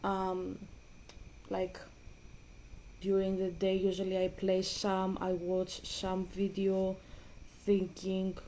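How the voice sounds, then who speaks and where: Low-key woman, mellow voice. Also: completely flat delivery, slow, pauses often, a little hiss or hum, rough recording. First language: Greek. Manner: rambling, hesitant, many fillers. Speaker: gaming vlogger. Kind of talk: casual gaming chat.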